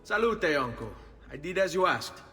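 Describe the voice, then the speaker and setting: A man's voice: fairly high pitch, sing-song, normal speed, music in the background. An actor playing a proud, headstrong young Italian nobleman in a video game, with an Italian accent and an earnest, formal manner. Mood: courteous. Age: young adult. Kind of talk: video game cutscene acting.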